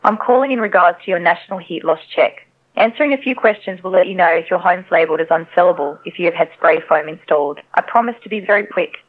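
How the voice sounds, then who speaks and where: A woman's synthetic telemarketing voice on a narrow phone line, politely and persistently reading a scripted sales pitch. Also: quick pace. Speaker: AI robocall telemarketer.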